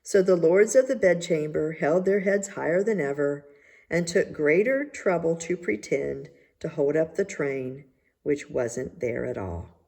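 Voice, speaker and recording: A woman reading a children's tale aloud as narrator, in a measured, deliberate way. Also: deep voice, gravelly, mellow. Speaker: story reader. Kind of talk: scripted storybook narration.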